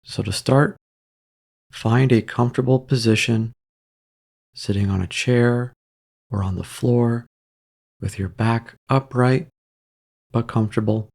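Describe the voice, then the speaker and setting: A man leading a guided meditation in a calm, gentle, measured way, leaving long pauses between soft instructions. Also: medium pitch, vocal fry.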